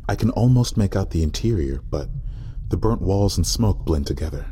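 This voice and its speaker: A man playing a guilt-driven young man with time-stopping powers, narrating an audio drama in a hushed, introspective, tense way. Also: low voice, lively intonation, moderate pace. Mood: urgent, uncertain. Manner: hushed, introspective, dropping into breathy whispers.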